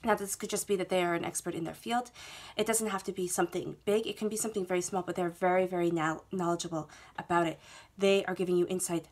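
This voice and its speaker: A woman's voice: fairly low pitch, fairly even intonation, fast, few pauses, darkish tone. Stuffy-voiced woman giving a tarot reading. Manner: soothing, repetitive reassurance with frequent "okay?". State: head cold, stuffy. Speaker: tarot reader. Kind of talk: monthly tarot reading to camera. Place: indoors at a table, reading laid-out cards.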